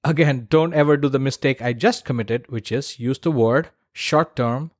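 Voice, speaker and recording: A man with a medium-pitched voice talks as the host of a solo podcast. He explains in a chatty, emphatic way, speaking straight to the listener with casual asides. The sound is close and dry, with very little room in it. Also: normal speed, no room sound.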